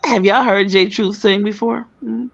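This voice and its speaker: A guest on a live stream who is animated, joking and laughs a lot. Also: mid-range voice, moderate pace, creaky, highs cut off, very noisy. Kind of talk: casual chat.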